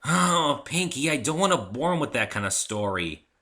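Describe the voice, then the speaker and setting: A man's voice: mid-range voice, big pitch swings, normal speed, sharp tone, pitched above the performer's own voice. A man voicing a brash, boastful young female pegasus pony racer in a dramatic story reading, cocky and emphatic. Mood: reluctant. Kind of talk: dramatic reading of fan fiction.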